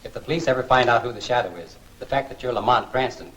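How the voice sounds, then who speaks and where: An actor playing a loyal, anxious driver and sidekick in a 1940s film serial, earnest and plain-spoken. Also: male voice, medium pitch, quick pace, fairly bright tone, close to an everyday voice, rough recording. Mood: worried. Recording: hissy 1940s film soundtrack.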